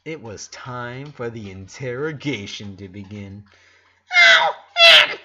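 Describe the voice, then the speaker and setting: Bright-voiced man narrating a story. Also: lowish voice, measured pace, dull audio, a little hiss or hum. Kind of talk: dramatic fan fiction story reading.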